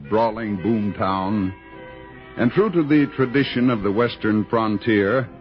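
An American man reading scripted announcements and narration in the style of 1960s radio. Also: on the low side. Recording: lo-fi vintage radio broadcast.

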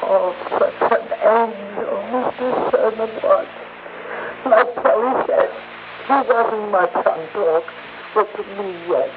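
A woman's voice: mid-range voice, takes it slowly, lightly acted. An actress playing a doting, mournful boarding-house landlady in a 1960s radio drama, plaintive and fussy. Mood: mournful, fond. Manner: plaintive, fussy, inverted homely phrasing. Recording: noisy, tinny 1960s radio recording.

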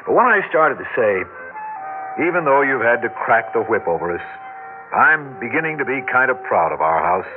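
An actor playing a genial, wry middle-aged American father, expressive and a little theatrical, in a 1960s radio drama. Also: medium pitch, normal speed, over music, close to an everyday voice. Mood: warm, teasing. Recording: dull vintage 1960s radio recording.

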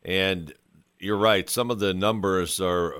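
A man's voice: low-pitched, fairly even intonation, takes it slowly, fairly bright tone, clear, resonant tone, no room sound, studio quality. A man hosting a sports interview, conversational as he sets up questions and comments. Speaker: sports radio host, interviewer.

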